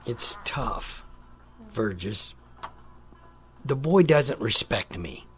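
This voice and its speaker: A man's voice: medium pitch, lively intonation, extremely slow, breathy edge, faint music behind, heavily acted. A man playing a stern, emotionally distant general and father in an audio drama, with a clipped, commanding manner. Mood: resigned, grave.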